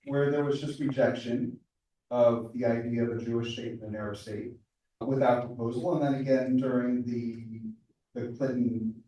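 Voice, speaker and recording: A man's voice: mid-range voice, dark tone, slight background noise. A man in the audience making a statement and then asking a question, stumbling and repeating words as he goes. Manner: hesitant, repeats words as he builds his point. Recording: very echoey hall, far from the mic.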